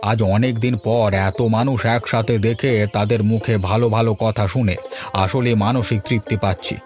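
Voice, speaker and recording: A man's voice: on the low side, fairly even intonation, moderate pace, a little mellow, soft background music, close to an everyday voice. A man voicing a kindly elderly village father-in-law in a cartoon fairy tale, affectionate and given to homely moralizing. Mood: heartfelt.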